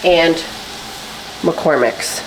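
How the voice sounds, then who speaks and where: A woman's voice: low voice, very slow, noisy background. Chatty nasal woman vlogging from her kitchen. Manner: upbeat, chatty, full of fillers and likes. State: stuffy and nasal after a cold. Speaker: fitness competitor vlogger. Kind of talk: casual chatty vlog while cooking. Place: home kitchen. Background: faint sizzling of frying food.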